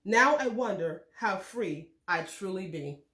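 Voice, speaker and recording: A woman reading a children's storybook aloud in a measured, expressive way. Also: medium pitch, reverberant. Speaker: read-aloud host reading a children's book. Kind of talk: scripted storybook narration for children.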